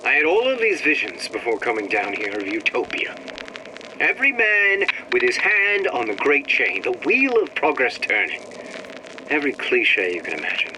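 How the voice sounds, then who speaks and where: An actor playing a disillusioned shop owner grumbling into an audio diary in a video game, sardonic and world-weary. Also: male voice, medium pitch, sing-song, normal speed, noisy background, natural voice. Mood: bitter, wry.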